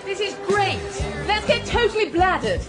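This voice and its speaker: A woman's voice: extremely high pitch, sing-song, normal speed, over loud music. An actress playing a blunt, tipsy young Englishwoman on a date in a comic radio drama. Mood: boisterous. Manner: blunt, exasperated.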